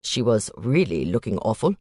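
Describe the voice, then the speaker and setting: A woman voicing a polite young male student who speaks softly with a questioning lilt. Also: extremely low pitch, very animated, normal speed, breathy edge, no echo, clean studio sound, pitched below the performer's own voice, full character voice. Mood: concerned.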